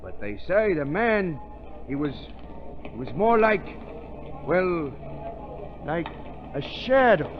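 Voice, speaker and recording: A man's voice: on the high side, big pitch swings, slow pace, long pauses. An actor playing a deferential subordinate reporting to his chief, plain-spoken, in 1930s radio drama style. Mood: puzzled. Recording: muffled old 1930s radio recording.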